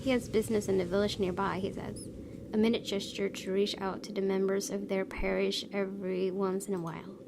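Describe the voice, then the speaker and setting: A woman's voice: mid-range voice, flat delivery, fairly quick, background noise. A young woman hosting a let's play, chatting casually and reading game text aloud. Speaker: gaming video host. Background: clear game music.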